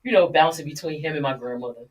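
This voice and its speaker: A woman guest telling a personal story in an interview, earnest and halting with frequent restarts. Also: low voice, far from the mic, crowd noise.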